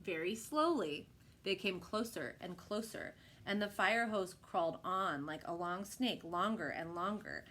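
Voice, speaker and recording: A woman's voice: fairly low pitch, lively intonation, a little slow, a bit bright. Woman reading a picture book aloud. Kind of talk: expressive children's read-aloud narration.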